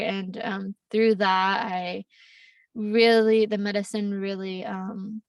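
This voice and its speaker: A woman's voice: mid-range voice, dry recording, recorded from a distance. A young woman raised in Costa Rica talks through her story as a podcast guest, with frequent laughter and loose, filler-heavy phrasing. Her voice sits a little back from the mic in a dry space. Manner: laughs often, rambling with fillers. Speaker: podcast guest, plant medicine facilitator. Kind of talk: casual interview storytelling.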